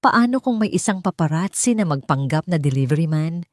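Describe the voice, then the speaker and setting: A woman's voice: deep voice, animated, fairly quick, no room sound, studio quality. A woman with a Filipino accent reading a scripted dramatic story as an audiobook narrator.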